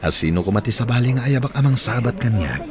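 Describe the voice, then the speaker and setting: A man's voice: mid-range voice, lively intonation, quick pace, close to an everyday voice. A man with an Ilocano accent acting in a radio drama as an earnest old flame wooing a woman again. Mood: friendly. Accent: Northern Philippine, Ilocano.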